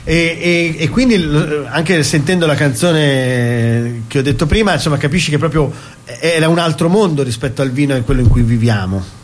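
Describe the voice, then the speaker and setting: Male stage performer as interview guest, slightly high voice, discursive and explanatory, casual talk. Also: normal speed. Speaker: stage actor, radio guest.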